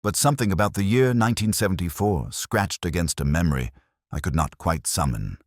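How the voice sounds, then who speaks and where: A man playing a brooding, observant police inspector, narrating his case in a measured, reflective, literary way. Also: low-pitched, lively intonation, no echo, clean studio sound. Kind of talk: scripted first-person detective story narration.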